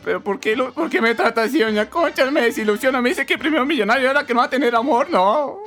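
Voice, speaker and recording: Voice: high voice, fast, a bit bright. A performer with a Colombian accent playing a jumpy, lovelorn male studio technician in a comic radio drama, whining and flustered. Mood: plaintive. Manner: plaintive, flustered, colloquial.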